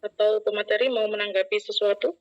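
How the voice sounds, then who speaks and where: A woman lecturer runs the question round of an online class, her voice dry and a little muffled over the call. She keeps her prompts short and brisk. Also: medium pitch, little pitch movement, dry recording. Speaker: lecturer moderating. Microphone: video call.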